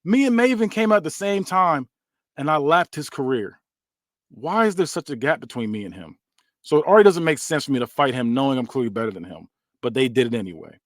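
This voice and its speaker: A man voicing a scheming male wrestling champion who talks smoothly and self-servingly. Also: a little high, no echo, clean studio sound, natural voice. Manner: smooth-talking, manipulative.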